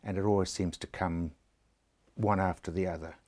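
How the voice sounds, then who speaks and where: A middle-aged New Zealand man hosts the conversation with a very low voice. He speaks in a reflective, plain-spoken way, drawing on his own experience. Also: steady tone, normal speed, a little mellow. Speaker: host. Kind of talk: interview conversation.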